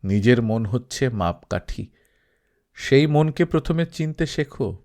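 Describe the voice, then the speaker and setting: A man voicing a calm old Sufi saint. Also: medium pitch, slow pace. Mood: gentle. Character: elderly male Sufi saint, calm and wise.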